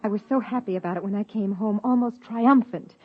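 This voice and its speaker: An actress playing an earnest, persuasive scientist wife who also narrates, in 1950s radio drama style. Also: female voice, mid-range voice, fairly quick, faint music behind, close to an everyday voice. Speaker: narrator and lead character. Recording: dull 1950s radio broadcast.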